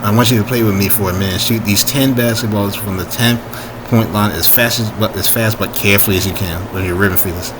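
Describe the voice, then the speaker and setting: A man's voice: lowish voice, steady tone, fairly quick, background noise, natural voice. A man voicing a competitive, easily embarrassed male story hero in a casual, plainspoken way. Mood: encouraging.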